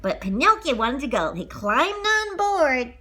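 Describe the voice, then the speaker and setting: A woman narrates a children's storybook with a high, bright voice. Her delivery is very lively and dramatic, made for a read-along. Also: a little slow, slightly rough. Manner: dramatic, expressive. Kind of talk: animated storybook read-aloud.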